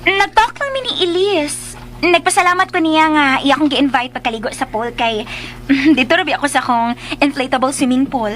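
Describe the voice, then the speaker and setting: A sweet-talking, jealous Filipino wife with an extremely high voice. She is theatrical in radio drama style, over faint background noise. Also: normal speed, fairly bright tone. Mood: flustered, fake sweet.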